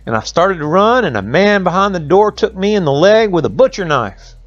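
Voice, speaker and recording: A man playing a battered, panicky backwoods highway robber telling his woes in a storybook tale. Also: very animated, a little fast, no echo, muffled recording. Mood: panicked, wailing.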